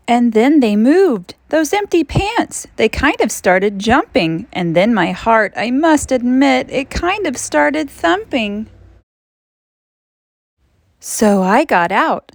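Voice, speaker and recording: Animated woman reading a children's story aloud. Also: on the high side, gravelly, dry recording. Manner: dramatic, drawn-out emphasis with big swoops for suspense. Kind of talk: expressive children's read-aloud.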